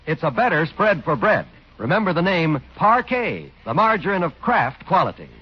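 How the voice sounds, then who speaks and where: High-pitched, animated American radio announcer man. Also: moderate pace, breathy edge. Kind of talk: 1940s radio announcing, scripted ad and sign-off. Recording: dull vintage radio recording with music. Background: music.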